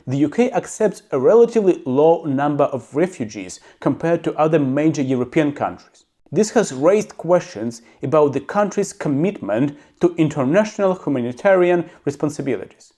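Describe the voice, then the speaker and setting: A man with a slightly high voice, speaking English as a second language. He reads facts in an even way, then gives his own blunt, opinionated commentary in a plain-spoken manner. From abroad, living in the UK. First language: not English. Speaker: YouTuber. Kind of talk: reading facts with personal commentary.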